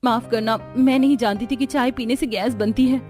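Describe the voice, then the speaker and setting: A woman's voice: fairly high pitch, steady tone, moderate pace, a little mellow, lightly acted. Humble young village daughter-in-law, acted by a woman. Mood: caring, apologetic. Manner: humble, sincere. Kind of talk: cartoon story character acting. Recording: music under the voice.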